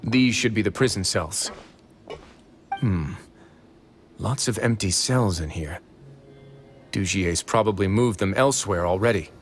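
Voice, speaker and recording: A man voicing a calm, authoritative male prison warden in a video game, measured and dry. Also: lowish voice, faint music behind, lightly acted.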